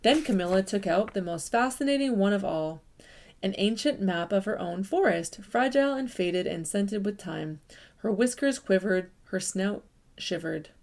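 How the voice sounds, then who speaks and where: A woman reads a children's picture book aloud, her voice at a middle pitch. She tells it expressively, leaning into the rhythm and sound of the words for young listeners. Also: normal speed. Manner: warm, expressive storytelling with lively emphasis. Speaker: children's story reader.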